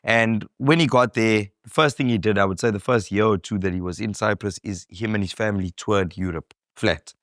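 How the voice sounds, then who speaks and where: Rambling South African host, low voice. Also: male voice, a little fast, hardly any pauses, a bit bright, no echo, clean studio sound. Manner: loose, rambling, full of fillers and slang. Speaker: podcast host. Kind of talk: casual conversation.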